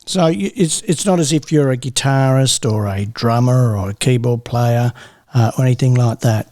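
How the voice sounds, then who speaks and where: A man's voice: medium pitch, moderate pace, a little mellow, dry recording. A man hosting a podcast, chatting casually and encouraging his guests. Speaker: podcast host. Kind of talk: casual interview.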